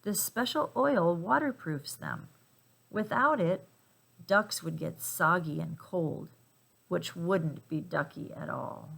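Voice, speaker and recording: A woman reading a children's picture book aloud in an expressive, emphatic and playful way for young listeners. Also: on the low side, takes it slowly, vocal fry, breathy edge, rough recording. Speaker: storybook reader.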